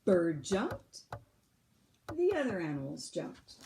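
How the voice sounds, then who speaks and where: A woman reads a children's picture book aloud in a teacherly way. Her pace is slow and her pitch rises and falls expressively. There is some background noise. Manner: deliberate, expressive, explanatory.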